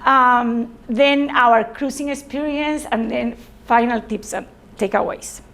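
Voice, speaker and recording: A woman with a Spanish accent presents informally to a group. Her voice sits fairly high and has a marked creak. She speaks haltingly, going back to repeat or fix words. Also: fairly bright tone, a little hiss or hum. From Spain. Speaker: presenter, sailor.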